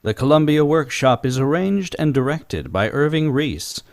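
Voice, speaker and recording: Male announcer, acted by a man, 1930s radio style, formal, declamatory, animated intonation. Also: on the high side, moderate pace.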